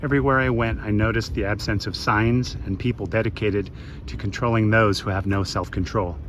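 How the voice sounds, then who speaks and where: A man in his late fifties from the west coast of the United States, narrating a travel vlog in a measured, reflective way. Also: lowish voice, fairly even intonation, noisy background. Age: 50s. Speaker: travel vlogger. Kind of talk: scripted voice-over narration.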